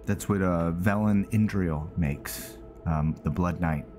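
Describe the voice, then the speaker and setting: A man's voice: low voice, takes it slowly, darkish tone, faint music behind. A man hosting a gaming video, giving casual roleplay commentary and thinking aloud.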